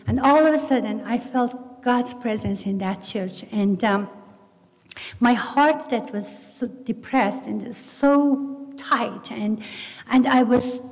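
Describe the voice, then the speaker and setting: A woman's voice: on the high side, darkish tone. Persian-accented woman with a long hall echo. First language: Farsi. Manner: earnest, halting storytelling with many restarts. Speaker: testimony speaker. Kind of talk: personal faith testimony.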